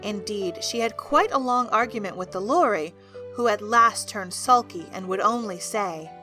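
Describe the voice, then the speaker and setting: Female storybook narrator for audio drama, bright and clear-cut tone, light music underneath. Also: medium pitch, normal speed.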